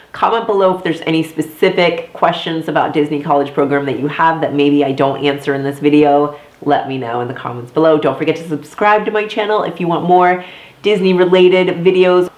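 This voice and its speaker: A woman in her thirties, a former theme park performer, chatting casually to camera with a slightly congested, nasal voice. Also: sits low. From Florida, US. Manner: chatty, many fillers and asides. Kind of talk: casual talking-head vlog. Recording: echoey room.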